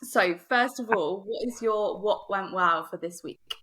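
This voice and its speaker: A woman's voice: medium pitch, measured pace, fairly bright tone, rough recording. A woman hosting a podcast, upbeat and encouraging toward her guest. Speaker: podcast host, secondary teacher.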